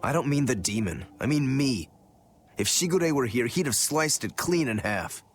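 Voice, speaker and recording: A man's voice: medium pitch, animated, moderate pace, soft background music, close to an everyday voice. A man voicing a plainspoken, brooding demon swordsman in dubbed video game dialogue. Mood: frustrated, blunt. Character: male swordsman turned demon, brooding, self-critical eldest-rival brother.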